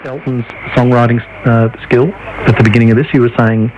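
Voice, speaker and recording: A man's voice: mid-range voice, little pitch movement, fairly quick. Man on very noisy, muffled shortwave radio.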